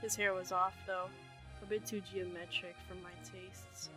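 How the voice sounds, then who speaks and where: A woman's voice: fairly low pitch, level tone, normal speed, close to an everyday voice. Woman playing an awestruck young convention-goer, music behind. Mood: dazed admiration. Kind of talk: fan comic dub dialogue.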